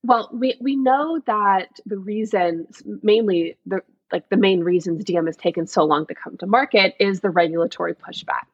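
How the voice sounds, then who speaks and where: Brief: young woman podcast co-host, breathy tone, dry slightly dull recording, chatty and giggly. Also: mid-range voice, normal speed, hardly any pauses, no echo. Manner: laughs often, chatty and curious. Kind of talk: casual podcast chat.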